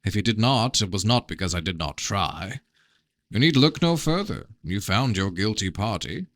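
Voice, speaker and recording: Man voicing a measured, scheming fantasy prince, low-voiced. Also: lively intonation, a bit bright. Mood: coolly unrepentant. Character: evasive, calculating prince, scheming and unrepentant. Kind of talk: dramatised novel reading.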